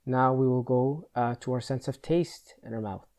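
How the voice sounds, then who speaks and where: A man leading a guided meditation, giving slow instructions in a measured, gentle, instructional manner. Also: medium pitch.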